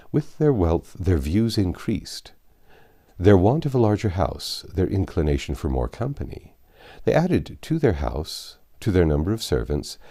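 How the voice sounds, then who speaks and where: A man with a deep, mellow voice reads classic fiction aloud. His delivery is measured and literary, with expressive phrasing and a wide, animated swing in pitch. It has the manner of an audiobook narrator. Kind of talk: reading a classic novel aloud.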